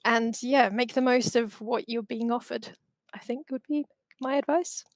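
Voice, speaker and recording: A woman's voice: a little high, fairly even intonation, moderate pace, no room sound. A middle-aged woman giving informal, explanatory interview answers on a headset. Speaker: university representative, guest. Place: indoors, video call.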